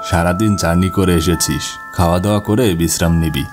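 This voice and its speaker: A man playing a friendly village uncle in animated ghost story dialogue. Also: low-pitched, brisk, natural voice. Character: friendly uncle back from years abroad. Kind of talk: cartoon dialogue. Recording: music bed behind the voice.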